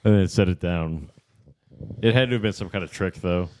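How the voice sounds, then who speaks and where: Male comedy podcast co-host with a very deep voice, loose rambling banter. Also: fairly quick, a little mellow. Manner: rambling, stammering, joking. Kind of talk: casual comedy chat.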